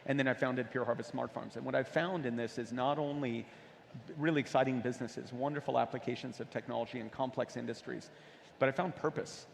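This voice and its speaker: A man's voice: mid-range voice, steady tone, a little fast, creaky. A man from the United States speaking as a panel guest, telling his personal story earnestly and with emphasis. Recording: reverberant hall with background noise.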